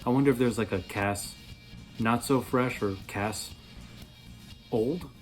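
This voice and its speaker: A man in his thirties reviewing a product in a casual, playful way, rambling as he thinks aloud, with a slightly husky voice. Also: mid-range voice, slow pace, faint music behind. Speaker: beer reviewer, vlog host.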